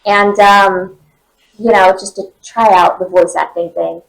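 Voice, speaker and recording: A young woman voice actor with a high, nasal voice, chatting casually in a convention interview with lots of fillers. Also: fairly even intonation, a little slow, gravelly, poor audio. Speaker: voice actor guest.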